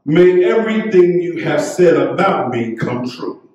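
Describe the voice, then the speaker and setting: A middle-aged American man preaching as a pastor in a measured, fervent, declamatory way. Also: a little high, animated, darkish tone, far from the mic, muffled recording. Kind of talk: sermon, scripture reading and prayer. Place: church sanctuary at the pulpit. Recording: echoey church hall, off mic.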